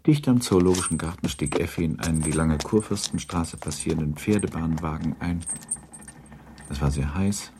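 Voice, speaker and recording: A man narrating a literary radio drama in a measured, formal storytelling manner. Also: very deep voice, a little mellow.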